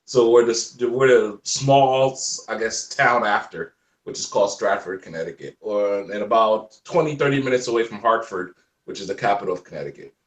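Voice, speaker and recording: A man in his forties from Haiti with a light Haitian accent, chatting as a guest in a relaxed, rambling way. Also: on the low side, moderate pace, reverberant, recorded from a distance. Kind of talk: casual chat.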